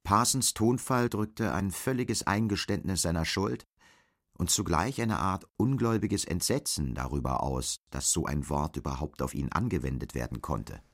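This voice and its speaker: A man's voice: sits low, animated, normal speed. A man reading scripted literary narration as the narrator of a German radio play.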